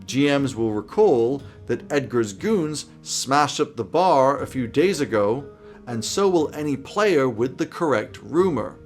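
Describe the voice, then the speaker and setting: A man in his thirties with a mid-pitched voice, hosting a tabletop advice video. He is wry and emphatic, speaking to camera from a small room over faint music. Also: expressive, measured pace. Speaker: host giving game master tips. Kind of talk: semi-scripted video commentary to camera. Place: small indoor home office.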